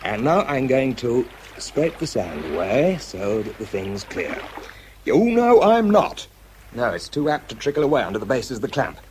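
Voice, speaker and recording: An actor with a British accent playing a tense, self-doubting wartime bomb disposal man in a radio drama, shifting between clipped reports and quiet confession. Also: mid-range voice, very animated, moderate pace, slight background noise, lightly acted. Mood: tense, concentrated, then strained, alarmed. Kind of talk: radio drama acting, first-person narration and spoken report.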